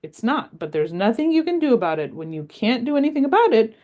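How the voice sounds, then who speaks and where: A woman's voice: a little high, very animated, quick pace, a little mellow, lo-fi sound, pitched above the performer's own voice. Woman voicing an old grandma, wise, patient, playful, reassuring, varied intonation, storybook. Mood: fond. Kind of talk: read-aloud storytelling.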